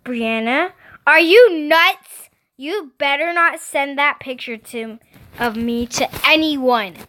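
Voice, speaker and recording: A woman's voice: high-pitched, unhurried, sharp tone, slight background noise. A woman voicing an exasperated young big sister in a storybook, melodramatic and indignant. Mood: furious.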